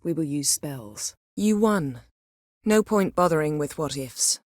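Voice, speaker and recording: A woman voicing a proud, sharp-tongued sorceress in a video game, speaking crisp, formal with an imperious, sardonic manner. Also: low voice, lively intonation, unhurried, no echo, studio quality. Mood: cool, commanding. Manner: crisp, formal, haughty and biting. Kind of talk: video game character dialogue.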